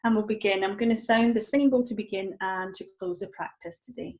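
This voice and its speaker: A woman with a Scottish accent, a mindfulness coach leading a live-streamed guided session in a gentle, encouraging way with frequent ums. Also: medium pitch, brisk. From Scotland.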